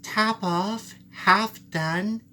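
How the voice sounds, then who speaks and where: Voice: mid-range voice, extremely slow, bright, forceful tone, slight background noise, voice pushed up. A performer voicing a small, earnest, suspicious female mouse in a storybook, plaintive and full of questions. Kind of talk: storybook character voice.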